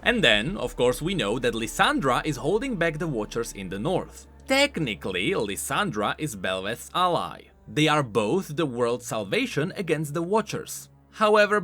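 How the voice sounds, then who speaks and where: A man's voice: medium pitch, very animated, normal speed, hardly any pauses, pushed and bright, faint music behind. A man hosting a scripted explainer on video game lore, talking informally with emphatic, swooping stresses.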